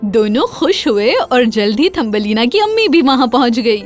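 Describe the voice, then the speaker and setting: High-pitched, animated woman storyteller. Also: soft background music. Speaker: narrator. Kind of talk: scripted children's fairy tale narration.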